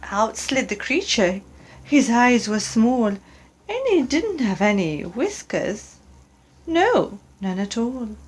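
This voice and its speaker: A woman reading a children's story aloud as narrator, with dramatic and deliberate phrasing. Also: on the high side, sing-song, husky. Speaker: storyteller, audiobook reader.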